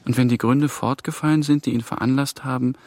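Actor as reserved young man, mid-pitched. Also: fairly even intonation, brisk, a little mellow, close to an everyday voice. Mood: studious. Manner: formal, careful. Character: polite, reserved young male trainee official. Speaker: radio drama actor. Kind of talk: radio play dialogue and reading aloud.

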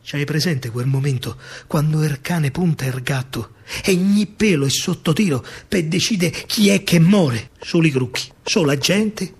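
A man's voice: fairly high pitch, lively intonation, normal speed. A man with a Roman Italian accent performs a theatrical verse monologue as a stage storyteller, declaiming emphatically in rhythmic phrases.

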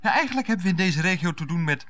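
An actor in a radio play, playing an evasive, flustered husband who explains himself hesitantly and with mock earnestness. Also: male voice, sits high, lively intonation, rapid-fire. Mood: evasive, pompous. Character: evasive, flustered husband juggling wife and lover.

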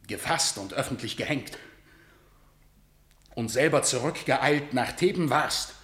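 An actor playing a grim messenger of defeat in a radio drama, declaiming solemn stage verse with a rhetorical manner. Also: male voice, medium pitch, fairly bright tone, reverberant, lightly acted. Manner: solemn, rhetorical, measured delivery. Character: grim male battle messenger bearing news of catastrophic defeat.

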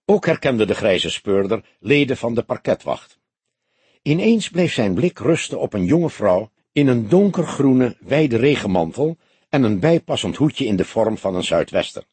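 A man with a very husky, breathy-rough voice reads scripted fiction. His delivery is measured yet varied, rising and falling widely, and the sound is dry and close. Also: medium pitch, no echo. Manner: expressive, measured storytelling. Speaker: audiobook narrator. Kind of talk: scripted literary narration.

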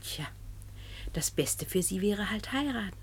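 An actress playing a middle-aged judge's wife in a radio play, condescending and gossipy. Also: female voice, medium pitch, expressive, a little slow, slightly rough. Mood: nosy, knowing. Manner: gossipy, knowing, sighing.

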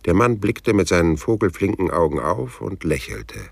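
A hard-boiled private eye in a crime radio play. His voice is extremely low and husky, with a lively, swinging pitch. He is cynical, stubborn and world-weary, speaking in dry, sardonic lines. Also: moderate pace, exaggerated voice. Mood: brooding narration. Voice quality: husky, rough. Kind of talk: radio crime drama with first-person narration.

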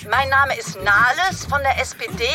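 A woman's voice: high-pitched, moderate pace, close to an everyday voice. An actress playing an awkward, ingratiating female politician on the phone in a satirical radio play, hesitant and polite.

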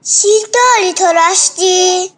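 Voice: very high-pitched, a bit bright. A performer playing a chatty, innocent and cheeky little child in a radio drama, prattling in a childish way. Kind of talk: nostalgic radio drama acting.

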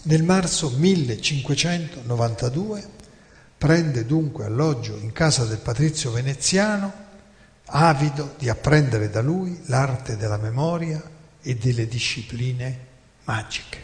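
Man, measured radio storytelling, mid-pitched voice, long reverb. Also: lively intonation. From Italy. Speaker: radio narrator.

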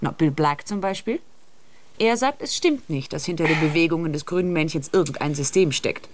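An actress in a naturalistic radio drama playing a practical, sceptical sister with a dry, pointed manner. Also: female voice, deep voice, animated, fairly quick, background noise. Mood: disapproving, dry.

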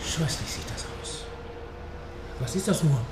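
An actor playing an earnest, credulous manservant in a radio play, speaking with polite wonder. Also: male voice, on the high side, expressive, unhurried, very noisy. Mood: uneasy wonder. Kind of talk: German radio play dialogue. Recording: roomy echo, music behind.

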